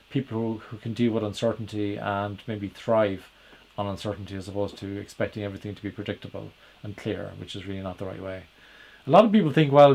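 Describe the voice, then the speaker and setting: A man with an Irish accent talks through his ideas on his own in a fairly low voice. He stops often on "uh" and "um", restarts his phrases, and keeps an easy conversational tone. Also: a little mellow, low-quality recording. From Ireland. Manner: hesitant, many "uh" fillers and restarts, conversational. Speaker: podcast host and agile coach. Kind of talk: solo explanatory talk.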